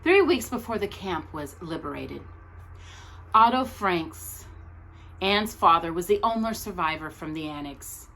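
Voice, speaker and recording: A woman reading aloud as a storytime host, speaking earnestly and deliberately to young viewers, phrase by phrase. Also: lowish voice, lively intonation, fairly bright tone, low-quality recording.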